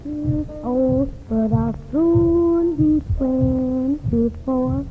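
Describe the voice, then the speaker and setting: A woman's voice: high voice, very slow, over music. A nine-year-old girl singing swing-era children's blues on an old radio broadcast. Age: child, nine years old. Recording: dull band-limited old radio recording.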